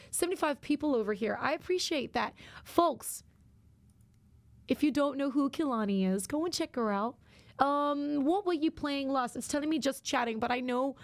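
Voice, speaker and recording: A woman's voice: sits high, no room sound. A young woman streaming a game, chatting casually and rambling with lots of fillers, her voice a little worn out. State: slightly worn-out voice. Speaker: variety streamer. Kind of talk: casual livestream chat while gaming.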